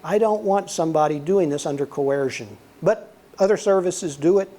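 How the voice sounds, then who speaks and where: An older man speaks with a soothing voice that sits a little high for a man. He lectures in a relaxed, conversational way, weaving in anecdotes and acting out dialogue. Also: moderate pace. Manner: relaxed storyteller, acts out quoted dialogue. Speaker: guest lecturer, former intelligence officer.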